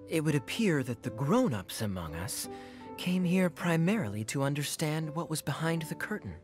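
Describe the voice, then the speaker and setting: A male actor voicing a suave young swordsman in a video game, sly and teasing, with smooth, theatrical, sardonic delivery. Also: on the high side, big pitch swings, moderate pace, over music.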